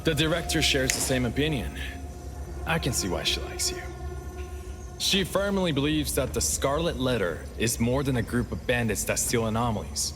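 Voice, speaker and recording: A man's voice: medium pitch, expressive, normal speed, close to an everyday voice. A man playing a level-headed, seasoned investigator in a video game, sober, knowing and matter-of-fact. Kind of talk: scripted game dialogue. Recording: music clearly playing behind.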